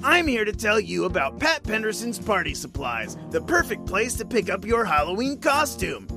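A zany radio DJ voiced by a man pitches a party store in a high, bouncing voice. Music plays clearly behind him. Every line is played for goofy fun. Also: big pitch swings, moderate pace. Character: zany male morning radio DJ in an ad.

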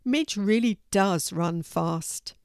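A woman voicing a calm, kindly male donkey in a children's story, unhurried and reassuring. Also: mid-range voice, clean tone, dry recording, clean studio sound. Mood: content.

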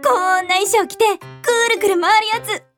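Woman playing a very high-pitched, eager schoolgirl. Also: a little fast, soft background music. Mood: bright, eager. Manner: animated, pleading, girlish. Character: cheerful, pushy teenage schoolgirl, eager ballet beginner. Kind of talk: radio drama acting.

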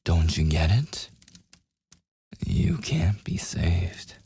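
A man playing a taunting, possessive werewolf in an ASMR roleplay, with a gravelly, husky, breathy voice and a teasing, menacing manner. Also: deep voice, big pitch swings, drawn-out words, dark tone, exaggerated voice. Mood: mock regretful. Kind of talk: boyfriend ASMR audio roleplay acting.

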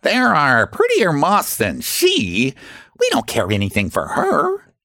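Man doing a conceited moth dandy, high and swooping. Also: huge pitch swings, measured pace, a bit bright, no echo, studio quality, full character voice. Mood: mocking. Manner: oily, condescending. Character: handsome male moth stranger, smooth, conceited, mocking. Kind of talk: children's storybook character voice.

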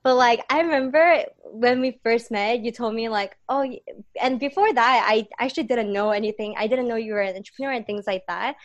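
A woman's voice: a little high, brisk, no echo, highs cut off. A woman in her twenties interviewing a guest casually, chatty and full of "like" fillers. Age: 20s.

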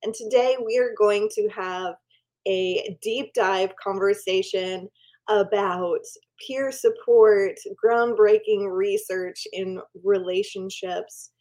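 A woman hosting a podcast interview, speaking in an enthusiastic, deliberate way as she welcomes her guest. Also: medium pitch, fairly even intonation. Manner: warm, enthusiastic, slow deliberate intro. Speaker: podcast host.

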